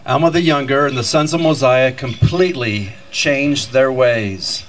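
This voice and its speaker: A man's voice: medium pitch, measured pace, slight background noise. A middle-aged man reading scripted scripture narration on an outdoor stage. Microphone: handheld mic, filmed from audience. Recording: distant outdoor stage mic with music.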